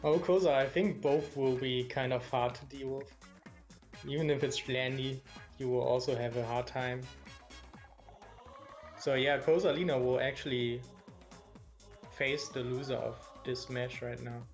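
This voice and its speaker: Casting: German-accented male commentator, mid-pitched, live play-by-play, game music under the voice. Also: fairly even intonation. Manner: quick, engaged play-by-play, reacting to the action. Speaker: esports commentator.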